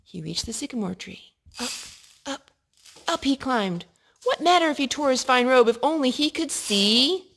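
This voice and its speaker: A woman reading a picture book aloud to a child in an expressive way, pausing to ask questions. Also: sits high, gravelly. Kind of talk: storytime read-aloud. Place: living room indoors at a couch.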